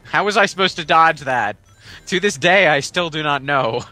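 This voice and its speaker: A man's voice: on the high side, lively intonation, moderate pace, sharp tone, soft background music. A man giving casual, joking commentary while playing a video game.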